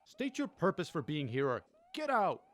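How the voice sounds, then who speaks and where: A man's voice: a little high, huge pitch swings, normal speed, fairly bright tone. A man playing a stern military General in a radio play, speaking formally and with urgent command. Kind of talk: semi-comedic radio play acting.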